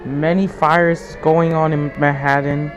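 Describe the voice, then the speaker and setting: A man's voice: on the high side, flat delivery, slow, over music. A man playing a solemn emergency alert announcer, giving formal, stilted, repeated warnings in an amateur mock broadcast. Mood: urgent, formal. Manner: formal, repetitive, stilted delivery.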